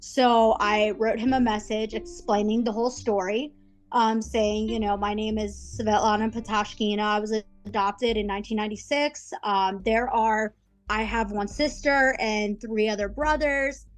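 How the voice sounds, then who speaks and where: A woman's voice: medium pitch, fairly even intonation, normal speed, soft background music, rough recording. A woman in her thirties from Russia, telling her personal story as a podcast guest, chatty with many ums. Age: 30s. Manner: chatty, lots of ums and quoted asides.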